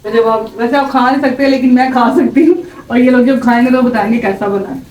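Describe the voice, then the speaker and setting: Pakistani woman, high bright pitch, chatty home-cook vlogger, recorded far off in an echoey room with faint noise. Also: fairly even intonation, a little fast. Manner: chatty, explains each step to viewers. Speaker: home cook, vlog regular. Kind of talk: casual cooking demonstration. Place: home kitchen. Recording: roomy, from a distance, faint background hum.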